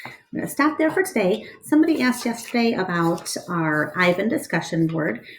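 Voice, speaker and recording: A woman teacher reading a storybook aloud to her class with expressive narration. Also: mid-range voice, normal speed, a little hiss or hum, poor audio.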